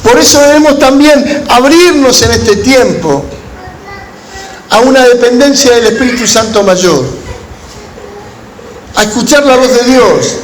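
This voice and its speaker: An Argentine man preaches in a noticeably high voice, phrasing deliberately and leaving pauses as he moves between teaching and storytelling. The sound is echoey, with faint noise behind him. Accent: Argentine Spanish. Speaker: pastor. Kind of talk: sermon to a gathering of pastors, with storytelling. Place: large room at a church conference. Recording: echoey hall with background noise.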